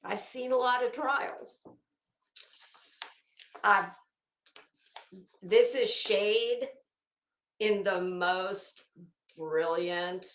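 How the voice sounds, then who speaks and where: Slow-paced scornful woman in an echoey room. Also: fairly low pitch. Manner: emphatic, scornful, profane, dramatic pauses and repetition. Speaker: online commentator. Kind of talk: informal opinionated commentary on a legal dispute.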